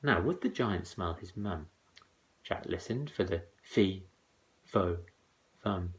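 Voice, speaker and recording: Man, theatrical children's storyteller, rhymed verse, unhurried pace, very animated pitch, dramatic delivery. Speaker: teacher reading aloud.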